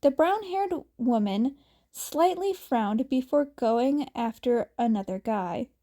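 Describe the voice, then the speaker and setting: A woman with a somewhat high-pitched voice narrates fan fiction. She goes very slowly, with an informal, slightly halting delivery, like an amateur audiobook reader. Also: lively intonation, mellow.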